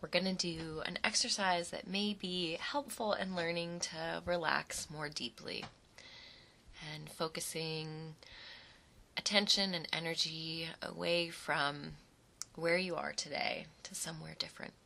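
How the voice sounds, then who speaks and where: Low-pitched, creaky-voiced woman guiding meditation. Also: fairly even intonation, pushed and bright, a little hiss or hum. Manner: soothing, unhurried, with short phrases and pauses. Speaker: guided relaxation narrator. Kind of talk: guided imagery meditation.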